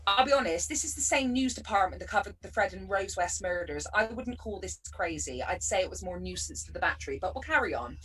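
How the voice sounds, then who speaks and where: A woman's voice: mid-range voice, expressive, a little fast, hardly any pauses, fairly bright tone, breathy edge, distant mic, poor audio. A woman from Bristol with a West Country accent, doing a chatty, sarcastic stand-up set over a video call. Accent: West Country English. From Bristol, England. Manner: chatty, sarcastic, bursts of laughter. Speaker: stand-up comedian.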